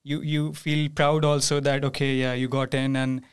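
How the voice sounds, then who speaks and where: A young man from India, a student guest who speaks earnestly and haltingly, with many "uh"s and "like"s. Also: medium pitch, flat delivery, smooth.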